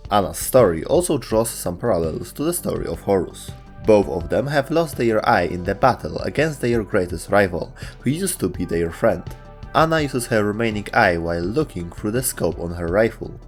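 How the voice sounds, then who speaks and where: A man's voice: on the low side, very animated, normal speed. A man reading scripted narration for a video game lore explainer. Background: music.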